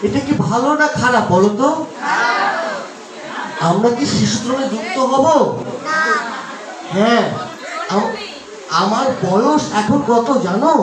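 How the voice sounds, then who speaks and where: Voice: medium pitch, lightly acted. A performer voicing a cheeky, chatty eight-year-old boy puppet, coaxing children with questions in live puppet show patter. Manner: coaxing, question-asking, addresses the crowd directly. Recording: distant, echoey, noisy live crowd recording.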